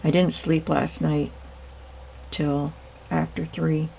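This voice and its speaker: A woman hosting a crafting video, hoarse and raspy from lack of sleep, chatting casually as she works. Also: low voice, takes it slowly, a little hiss or hum, poor audio. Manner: relaxed, chatty, thinking aloud while working. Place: home craft room.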